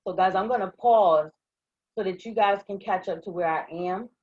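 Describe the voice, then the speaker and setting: Woman, hoarse, husky, upbeat step-by-step trainer, dry slightly dull sound. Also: medium pitch, moderate pace, no room sound. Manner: upbeat, step-by-step, checks in with "okay?". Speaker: online trading trainer. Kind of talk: live screen-share software walkthrough.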